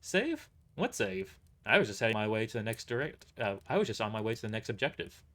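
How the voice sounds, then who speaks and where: A man's voice: on the low side, big pitch swings, fast talker, a bit bright. A man voicing a cocky, brash mercenary squad leader in an audio drama, boastful, casual and dismissive.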